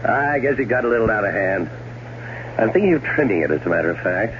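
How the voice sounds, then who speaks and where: Man acting wry American schemer, deep voice, theatrical radio drama delivery, animated intonation, muffled noisy recording. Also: fast, lightly acted. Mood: jovial, sardonic. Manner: sardonic, theatrical. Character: scheming, wry, well-off man plotting murder. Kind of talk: 1970s radio drama acting, first-person narration and dialogue.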